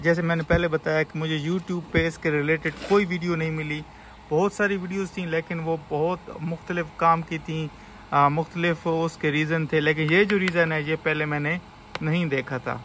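Male repair technician, bright forceful voice, explanatory tutorial delivery, recorded over workshop noise. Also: a little high, steady tone, normal speed. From Pakistan. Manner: explanatory, step by step. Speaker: repair technician and video host. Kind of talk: informal hands-on repair tutorial. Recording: clear background noise in a workshop.

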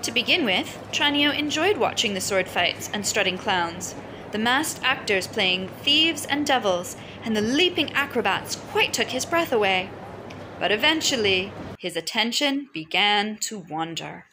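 A woman reading a children's picture book aloud in an expressive, dramatic storytelling style. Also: mid-range voice, a little slow. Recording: loud music and crowd sounds underneath. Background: music and crowd noise.

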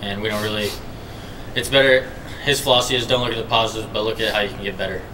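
A man in his early twenties, a college pitcher answering postgame questions in hesitant, self-critical phrases. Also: fairly even intonation, fast talker, far from the mic, noisy background. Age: early 20s. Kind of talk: postgame press conference answers. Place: indoor press conference table. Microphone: table microphone.

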